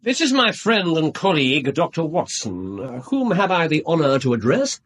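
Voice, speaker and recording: Male aloof Victorian English detective; incisive, self-assured, widely swooping delivery, slightly husky. Radio drama. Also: fairly high pitch, very animated, normal speed, a bit bright. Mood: coolly commanding.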